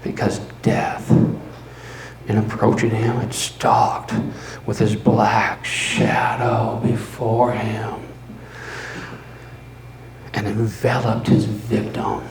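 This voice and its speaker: A man voicing a mad, obsessive murderer confessing his crime in a dramatic gothic horror reading, halting and theatrical, moving between breathy whispers and loud outbursts. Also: fairly low pitch, little pitch movement. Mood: ominous. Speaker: storyteller. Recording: roomy live recording with faint noise.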